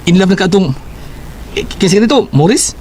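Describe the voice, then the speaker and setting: An actor playing a teasing coworker and friend who gives love advice, in a radio drama. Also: male voice, sits high, animated, a little fast, darkish tone, a little hiss or hum. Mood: advising. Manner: teasing, advising. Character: teasing male coworker and buddy.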